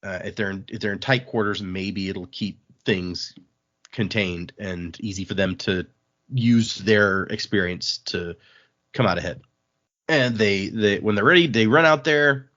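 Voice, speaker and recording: A man's voice: lowish voice, measured pace, sharp tone, muffled recording. A man hosting a podcast, casually recapping a book in an informal way. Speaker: podcast host.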